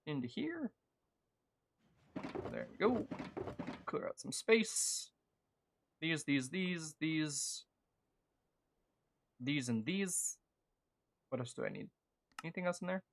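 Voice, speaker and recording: A young adult man streaming a game, chatting casually and earnestly in a rambling, halting way as he thinks out loud. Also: a little high, big pitch swings, vocal fry, slight background noise. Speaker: gaming streamer and video creator. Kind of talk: casual chat over live gameplay.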